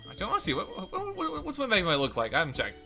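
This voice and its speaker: A young man chatters through a game playthrough at a rapid clip, his voice pitched a bit high and edged with a slight rasp. He rambles and corrects himself as he goes. Faint game music plays underneath. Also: huge pitch swings. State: slightly raspy, crackly voice. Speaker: let's play host. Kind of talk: casual game commentary.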